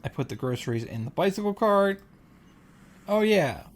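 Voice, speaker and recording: A woman's voice: mid-range voice, sing-song, normal speed. A woman giving casual, sarcastic commentary on a visual novel, reading along and dropping profane asides. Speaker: visual novel reaction host.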